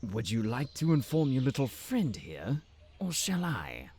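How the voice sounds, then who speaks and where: Pompous, swooping, slow-talking schemer. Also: male voice, mid-range voice, huge pitch swings, a little mellow, husky, full character voice. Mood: smug, scheming. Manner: haughty, drawn-out, mock-polite. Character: pompous, conniving male town advisor, smug and easily enraged. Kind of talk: fantasy audio roleplay acting.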